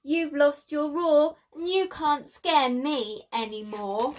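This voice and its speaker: A woman's voice: high-pitched, fairly even intonation, slow. A woman voicing an indignant male dinosaur friend in a children's storybook, with an emphatic, scolding, sing-song delivery. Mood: mocking.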